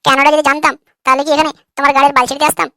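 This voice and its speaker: A man acts out an irritable young cartoon husband with a high, artificially raised voice. He is crude and combative, firing words out very fast in a sharp, bright tone. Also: level tone, no room sound, clean studio sound, heavily acted. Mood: irritated. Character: irritable, foul-mouthed, quarrelsome young husband. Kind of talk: comic cartoon dialogue.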